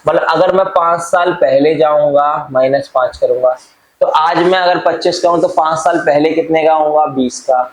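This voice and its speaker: A man works through a school math problem, talking fondly to his students and tossing out questions that he answers himself. His voice sits a little high and rings around a bare, echoey room. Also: normal speed. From India. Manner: coaxing, calls students "beta", asks then answers his own questions. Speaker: math teacher. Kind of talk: step-by-step math lesson mixing Hindi and English.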